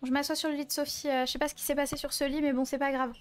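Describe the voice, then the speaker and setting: A woman's voice: high-pitched, flat delivery, very fast, close to an everyday voice. A woman improvising as a candid, lovelorn young woman in a roleplay game, apologetic and often searching for words. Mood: hesitant. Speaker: roleplayer in an online game server. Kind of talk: improvised in-character conversation.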